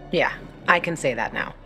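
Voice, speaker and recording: A young woman fighter in a game scene. Her voice sits fairly low, and she talks frankly with a wry, guarded edge over music. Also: pushed and bright, heavily acted. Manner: candid, wry, swearing. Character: tough, candid young woman fighter, guarded but healing. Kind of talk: video game cutscene acting. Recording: music behind the voice.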